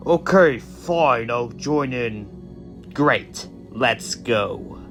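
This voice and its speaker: A man's voice: mid-range voice, lively intonation, drawn-out words, fairly bright tone, faint music behind. A man acting out an amateur audio drama in an everyday, conversational, improvised-sounding voice.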